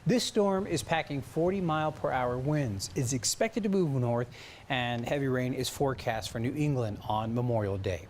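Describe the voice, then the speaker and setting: A man's voice: medium pitch, normal speed, a little hiss or hum. A man with an American accent reading scripted news stories as a television anchor, with some banter.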